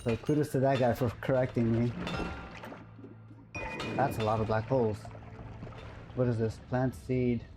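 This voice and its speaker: Man, live streamer, casual rambling chat, mid-range voice, clear game music behind. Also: medium pitch, little pitch movement, unhurried, long pauses. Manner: rambling, musing aloud, self-correcting.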